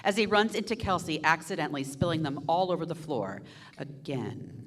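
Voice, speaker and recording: A young woman reading stage directions aloud in a staged musical reading, in a brisk, expressive way. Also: low voice.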